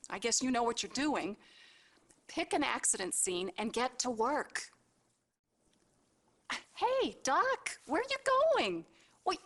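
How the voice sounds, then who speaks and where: A woman's voice: fairly high pitch, a bit bright. An actress playing a sassy, no-nonsense admitting nurse in a video game, bossy and informal in the way she talks. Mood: puzzled, exasperated. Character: brassy, wisecracking, no-nonsense hospital admitting nurse. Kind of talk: scripted video game cutscene acting.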